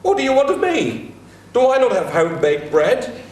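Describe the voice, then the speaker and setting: A man voicing a heroic warrior-king who speaks with slow, weighty scorn, in a theatrical dramatic reading. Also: very high voice, very animated. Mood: scornful. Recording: echoey room.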